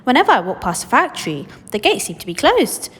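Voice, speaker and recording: A woman voicing an excited, curious young boy full of eager questions in a children's storybook reading. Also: fairly high pitch, fast, husky, long reverb tail, voice pushed up, heavily acted. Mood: unsure. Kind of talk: children's storybook read-aloud.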